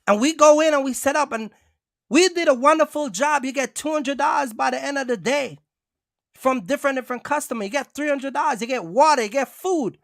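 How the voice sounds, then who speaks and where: Casting: male vlogger, high voice, dry recording, casual streetwise storyteller, emphatic and repetitive. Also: a little fast, vocal fry, a bit bright, no echo. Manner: emphatic, repetitive, addresses listeners directly. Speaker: box truck owner-operator and vlogger.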